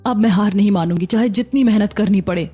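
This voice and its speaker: Mellow hopeful young stallkeeper woman acting. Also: mid-range voice, fast, breathy edge, soft background music, lightly acted. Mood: hopeful, determined. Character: hardworking, hopeful young daughter-in-law running a noodle stall. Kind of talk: scripted cartoon dialogue.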